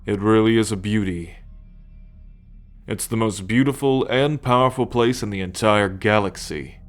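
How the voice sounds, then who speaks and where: A man playing a proud, possessive alien starship captain in an ASMR roleplay, speaking in a measured, formal, commanding way to the listener. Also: fairly low pitch, soft background music, close to an everyday voice. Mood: teasing, smug. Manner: measured, imperious, formal lecturing.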